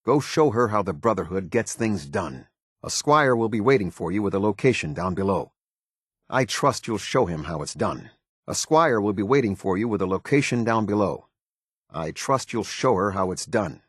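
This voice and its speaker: Husky, low-voiced stern military officer. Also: male voice, expressive, dry recording, studio quality. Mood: instructive, steady. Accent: American. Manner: clipped, authoritative, measured military formality. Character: stern, formal male military officer of a post-apocalyptic order. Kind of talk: video game character dialogue.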